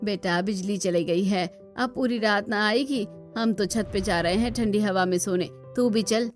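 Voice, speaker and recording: A woman's voice: medium pitch, measured pace, faint music behind, close to an everyday voice. A woman voicing an earthy, teasing old village mother-in-law in a cartoon, with rustic, scolding speech. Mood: resigned.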